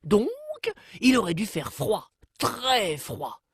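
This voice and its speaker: A woman narrates an educational cartoon like a storybook tale, in a measured, deliberately phrased storytelling delivery. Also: medium pitch, big pitch swings, husky, no echo, clean studio sound.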